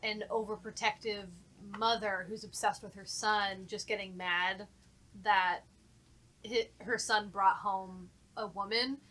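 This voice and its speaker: A woman's voice: mid-range voice, fairly even intonation, measured pace, pushed and bright, slight background noise, rough recording. A woman who works as a voice actor, talking informally and enthusiastically in a casual podcast discussion. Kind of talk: casual group podcast chat.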